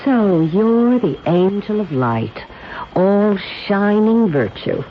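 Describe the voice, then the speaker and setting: Swooping, haughty society wife. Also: female voice, mid-range voice, animated, slow pace. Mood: scornful. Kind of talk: 1970s radio drama acting. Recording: dull, hissy old radio recording.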